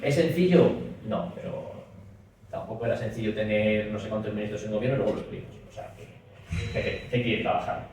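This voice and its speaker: A man speaking at a political meeting, pushing his points rapidly and looping back with restatements, recorded in a meeting room. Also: fairly low pitch, darkish tone. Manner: rapid, talks in restarts with frequent "es decir". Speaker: speaker at a party talk. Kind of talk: public talk and Q&A. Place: meeting room at a party office. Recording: roomy echo, distant mic, faint hiss.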